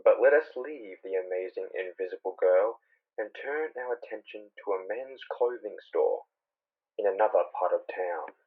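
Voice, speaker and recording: A man's voice: lowish voice, animated, moderate pace. A man giving a dramatic scripted narration of a superhero comic book. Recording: thin phone-like narrow band.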